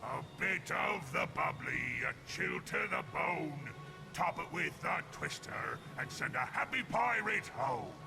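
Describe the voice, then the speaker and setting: Gruff theatrical old pirate for a game; archaic speech, wildly swooping delivery, music underneath. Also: male voice, fairly high pitch, big pitch swings, takes it slowly, exaggerated voice. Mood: pompous, savoring.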